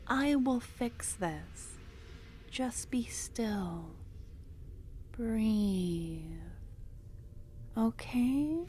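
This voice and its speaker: A woman voicing an obsessive, possessive young cultist in an ASMR fantasy roleplay, speaking in a soft, breathy half-whisper that coaxes and soothes. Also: on the high side, expressive, drawn-out words, pauses often, a little hiss or hum. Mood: soothing, possessive.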